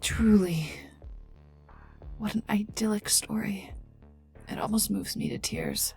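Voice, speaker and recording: A woman playing a cold, sardonic, menacing female scientist in an ASMR roleplay, taunting the listener in breathy half-whispers. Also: mid-range voice, slow, full character voice. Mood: sardonic, coldly amused. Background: calm ambient music.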